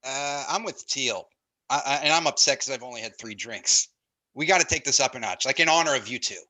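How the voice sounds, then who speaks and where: A rowdy young drinking buddy acted by a man in a casual screenplay read. His voice is very bright and forceful, at a middling pitch. He sounds eager and a bit stumbling. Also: brisk.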